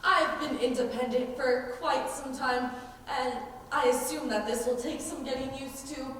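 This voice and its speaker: Teen female actor as indignant nun, high voice, measured sarcastic stage delivery, distant in a noisy hall. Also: a bit bright. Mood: indignant, haughty. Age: teenager. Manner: emphatic, haughty, sarcastic. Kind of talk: high school stage play acting. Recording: distant, echoey hall with background noise.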